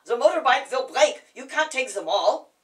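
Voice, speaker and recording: A woman voicing an exasperated, scolding man in a poetry recital, protesting loudly and emphatically. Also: high voice, big pitch swings, moderate pace, far from the mic, pitched above the performer's own voice. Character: exasperated man, scolding motorbike-riding companion.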